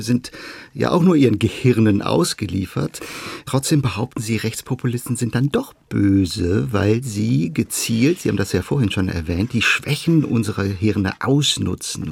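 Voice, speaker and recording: A man's voice: lowish voice, gravelly, darkish tone, slightly rough, dry recording. A man interviewing a guest, putting pointed and challenging questions to her.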